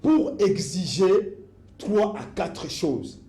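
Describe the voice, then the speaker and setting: A man presses his demands one by one, slow and deliberate. His voice sits fairly high yet sounds dark and mellow. The room around him is echoey. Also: sing-song. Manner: insistent, lists demands, repeats phrases. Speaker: activist guest. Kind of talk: political interview.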